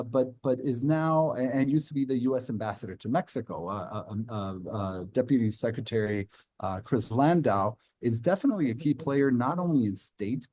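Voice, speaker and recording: A man speaking on an online news panel, halting, with many restarts. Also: mid-range voice, darkish tone, dry recording.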